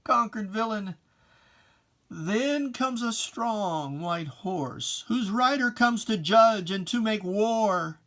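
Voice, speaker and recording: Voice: medium pitch, lively intonation, takes it slowly, fairly bright tone. A person giving a dramatic reading of religious verse in a solemn, declamatory and emphatic manner with archaic diction. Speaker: poetry reciter.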